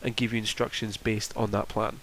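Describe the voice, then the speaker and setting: A man's voice: fairly low pitch, little pitch movement, fairly quick, a bit bright, rough recording. A man presenting a tutorial in a casual, explanatory walkthrough style. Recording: faint background noise.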